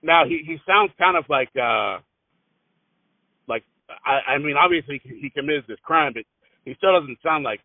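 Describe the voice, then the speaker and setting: Gravelly man interviewing over the phone. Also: on the high side, animated, moderate pace, long pauses, no room sound. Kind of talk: casual phone questioning. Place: telephone call. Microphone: phone line. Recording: narrow muffled phone-line audio.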